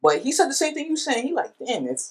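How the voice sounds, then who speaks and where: A man talking as a musician guest on a podcast, telling stories in a relaxed, slangy way. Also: very high voice, fast talker, crowd noise. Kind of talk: casual podcast chat.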